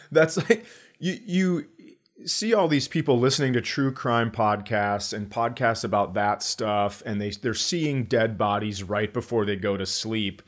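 A man's voice: on the low side, measured pace. A male comedian co-hosting a podcast in casual banter, with dry, sarcastic joking.

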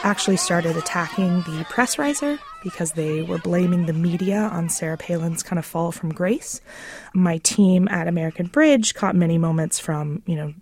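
A woman's voice: on the low side, moderate pace, darkish tone, breathy edge. A woman giving conversational answers as an interview guest, speaking as a political consultant.

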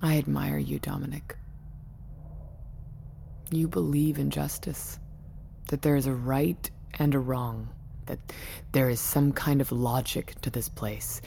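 An actress playing a controlling, weary tech company boss in an audio drama, guarded and persuasive, speaking in a measured, deliberate way. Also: female voice, very deep voice, fairly even intonation, creaky, warm tone, slight background noise, full character voice. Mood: cool, resigned. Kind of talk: naturalistic audio drama acting.

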